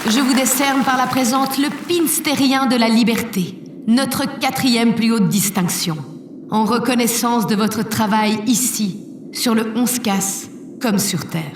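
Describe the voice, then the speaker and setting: A woman's voice: fairly high pitch, a little slow, background noise, lightly acted. A woman playing a solemn president of a spaceship colony, giving a formal, declamatory speech in an audio drama. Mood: ceremonious. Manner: stately, declamatory. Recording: echoey public-address hall, distant, crowd ambience.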